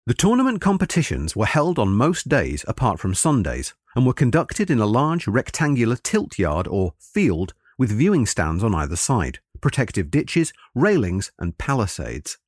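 A man reading scripted history narration in a measured, formal manner, as for an audiobook. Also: mid-range voice, huge pitch swings, breathy edge, dry recording, clean studio sound. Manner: measured, formal read-aloud delivery.

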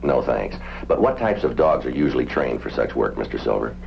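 An actor playing a stoic, methodical police sergeant in a radio drama, speaking and narrating in clipped, matter-of-fact fashion. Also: male voice, very deep voice, sing-song, a little fast, vocal fry, slight background noise, heavily acted. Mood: businesslike. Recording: muffled old-time radio recording.

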